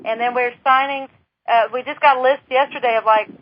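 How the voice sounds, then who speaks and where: A woman's voice: high-pitched, steady tone, a little fast. A woman co-hosting a radio talk show, giving plain matter-of-fact updates and agreeing supportively. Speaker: co-host. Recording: muffled radio broadcast.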